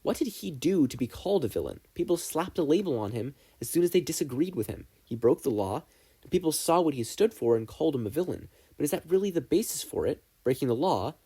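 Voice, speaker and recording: A man's voice: on the high side, sing-song, fast, warm tone, husky, heavily acted. A man voicing an earnest, idealistic teenage boy student in an audiobook, arguing emphatically. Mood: thoughtful, reasoning. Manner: emphatic, argumentative.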